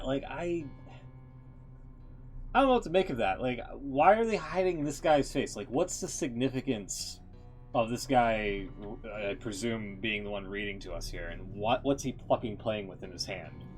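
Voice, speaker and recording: A man giving casual, rambling live-stream commentary as a game streamer, in an informal way. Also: medium pitch. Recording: music playing behind the voice.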